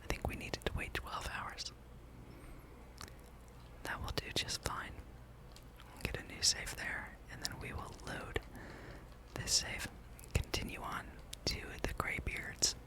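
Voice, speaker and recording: A person whispering a relaxed ASMR gameplay commentary, breathy and rambling, with dry, wry asides. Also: measured pace. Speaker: host of a gaming ASMR channel.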